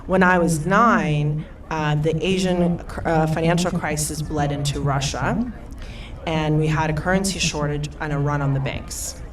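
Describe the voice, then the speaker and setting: A woman in her thirties, from Eastern Europe, telling a personal story as a panelist in an animated, storytelling way. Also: sits low, a little slow. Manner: animated storyteller, laughs often. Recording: far from the mic, crowd behind.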